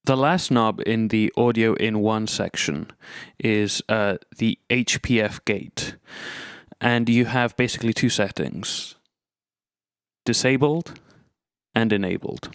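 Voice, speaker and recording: A man's voice: on the low side, slow, smooth, no echo, studio quality. A man presenting an instructional gear demonstration, explaining methodically, step by step. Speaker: tutorial presenter.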